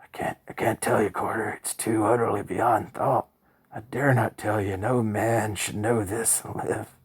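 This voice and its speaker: A man's voice: lowish voice, fairly even intonation, unhurried, slightly rough. A man voicing a terrified man trapped underground, pleading in a panicked, breathless rush. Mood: awestruck dread.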